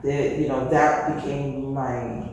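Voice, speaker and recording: Mellow woman designer in echoey hall. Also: extremely low pitch, slow pace. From New York, Bronx. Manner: animated, many "you know" fillers. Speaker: fashion designer, guest. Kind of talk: casual interview, storytelling. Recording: echoey hall from far off, faint noise.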